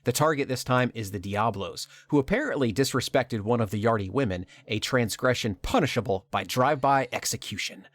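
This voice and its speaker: Male podcast host, wry scripted recap with dramatic pauses, mid-pitched, dry close sound. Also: fairly bright tone, dry recording. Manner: wry, dramatic pauses, laughs at own lines. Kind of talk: scripted narration of a game plot.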